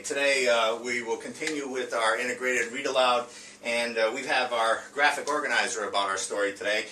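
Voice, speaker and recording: A man presenting an informal reading lesson as a teacher, speaking in a hesitant, halting way with frequent filler pauses. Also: mid-range voice, sharp tone. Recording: roomy, distant mic with faint noise.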